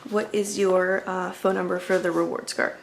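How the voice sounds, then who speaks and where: A woman's voice: lowish voice, little pitch movement, moderate pace, creaky, a little hiss or hum. A woman doing an ASMR roleplay as a friendly, accommodating video game store clerk, chatting in a casual, polite and apologetic way. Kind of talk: ASMR roleplay, casual customer-service chat.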